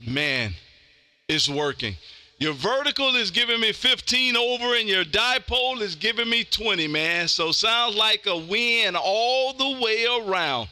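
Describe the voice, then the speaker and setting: American male radio host, high-pitched and exuberant, slangy delivery, very lively intonation. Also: unhurried, a little hiss or hum. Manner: exuberant, loud, animated, slangy. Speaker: amateur radio operator hosting a live stream. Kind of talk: casual ham radio chat. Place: home radio room.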